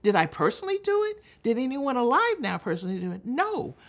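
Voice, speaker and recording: An older American woman giving an impassioned public talk, speaking in a deliberate, emphatic, oratorical way with weighted pauses. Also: fairly high pitch, very animated. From United States. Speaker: public speaker, veteran delegate.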